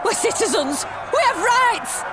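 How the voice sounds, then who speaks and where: A woman's voice: very high voice, big pitch swings, a little slow, a loud crowd, very noisy, full character voice. An actress playing an earnest, anxious, proper mother in a video game cutscene. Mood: pleading, desperate.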